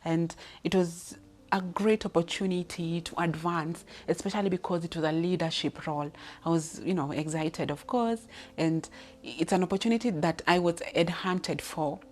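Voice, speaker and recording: A woman's voice: on the low side, steady tone, moderate pace, darkish tone. A Kenyan woman in her thirties speaking off the cuff about her career, with many restarts and fillers. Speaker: leadership speaker. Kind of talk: personal story, unscripted talk.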